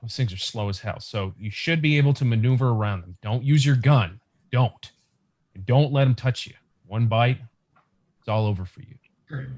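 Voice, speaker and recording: A man's voice: medium pitch, moderate pace, darkish tone, natural voice. A man acting a young, practical, reassuring scavenger survivor in an urgent, conversational comic book table read over a video call. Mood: cautious, instructing.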